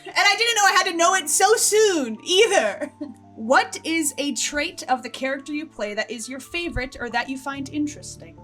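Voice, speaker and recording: A woman hosting a casual group Q&A, an animated, giggly storyteller who often quotes herself. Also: sits high, moderate pace, faint music behind. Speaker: host and writer of a fiction show.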